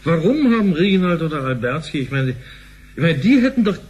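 A man's voice: on the high side, big pitch swings, fairly quick, darkish tone, a little hiss or hum. Insistent man, acted in a radio drama. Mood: agitated, urgent. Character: insistent, suspicious male former bank partner. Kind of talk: sci-fi radio play.

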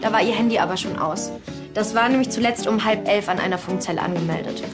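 A woman's voice: mid-range voice, fast, over music, lightly acted. An actress playing a businesslike female police colleague reporting findings in a TV drama.

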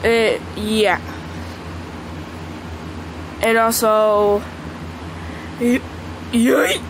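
Congested young-sounding woman, slow chatty gameplay. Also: fairly high pitch, noisy background. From Michigan. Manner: excitable, squeals at the game. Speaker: gaming channel host. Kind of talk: casual gameplay commentary. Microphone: phone screen recording.

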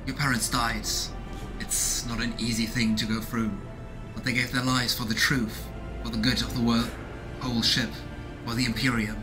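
A man playing a dignified, empathetic noble rogue trader in a video game, speaking formally and in measured phrases. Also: medium pitch, close to an everyday voice, poor audio.

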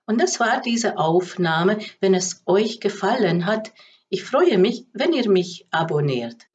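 Slow, calm woman astrologer, dull sound. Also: medium pitch, a little hiss or hum. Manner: calm, unhurried, gently explaining. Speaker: astrologer and channel host. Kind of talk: slow storybook narration of astrology.

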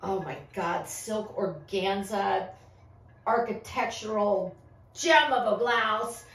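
Female vlog host, sassy and theatrical, unhurried, recorded far from the mic in a roomy space. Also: mid-range voice, fairly bright tone. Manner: theatrical, sassy, drawn-out delivery. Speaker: host of a crime and fashion commentary show. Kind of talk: casual vlog commentary to camera. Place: small furnished room, indoors. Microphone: far camera microphone. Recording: echoey room, distant microphone.

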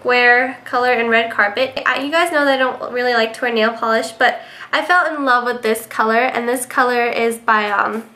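A young woman with a stuffy, nasal voice, sick but cheerful enough to chat through her favorite products. Her tone is bright and sits a little high, full of casual ums. She is recorded on a webcam in her bedroom. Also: fairly even intonation, normal speed. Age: young adult. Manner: chatty, many ums. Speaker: beauty vlogger. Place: bedroom indoors.

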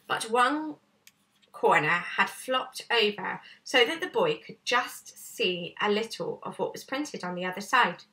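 Female storybook reader, thirties, teacherly, expressive delivery, recorded at some distance from the mic. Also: fairly high pitch. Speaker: teacher reading to pupils. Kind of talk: storybook narration read aloud. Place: small bright room indoors at home. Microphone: camera a short way off. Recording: slightly distant camera microphone.

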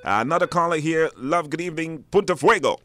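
A man's voice: a little high, quick pace, sharp tone, dry recording. Belizean guy hosting a live call-in radio show, asks callers stuff, close dry studio sound. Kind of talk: live phone-in talk, questioning callers.

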